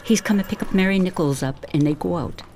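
A woman's voice: fairly low pitch, fast, darkish tone, natural voice. A woman playing a gossipy, judgmental working-class villager in a radio play, talking colloquially. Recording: clear background noise.

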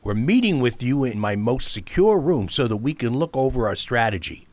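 A man plays a smooth-talking schemer in a radio drama. His medium voice moves in lively swings and is recorded quite dry. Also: mid-range voice, normal speed, no room sound, natural voice. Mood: sly. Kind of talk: science fiction radio drama acting.

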